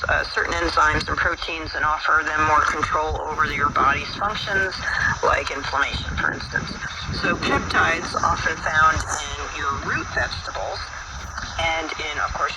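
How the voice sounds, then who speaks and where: A man hosting a radio talk show, explaining things at length in a relaxed way with many fillers. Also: a little high, recorded from a distance. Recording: faraway voice with loud background noise.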